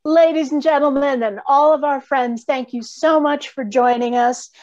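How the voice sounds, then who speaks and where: Female host, middle-aged, high-pitched, presenting a live show on slightly dull call audio. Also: fairly even intonation, normal speed, darkish tone. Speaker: theatre director hosting cast introductions. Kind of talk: hosting a live online show. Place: indoor room, video call.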